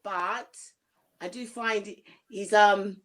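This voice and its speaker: A woman with a gruff voice chatting frankly with her family support team, often restarting her sentences. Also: medium pitch, steady tone, slow pace. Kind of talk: casual team chat.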